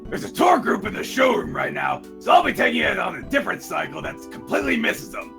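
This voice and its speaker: A man voicing a brash, gravelly old con-artist showman who booms out sales patter in a fan-dubbed dating game. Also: sing-song, quick pace, a bit bright, faint music behind, heavily acted. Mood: showy hard-sell. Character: brash old male con-artist showman, loud tourist-trap owner.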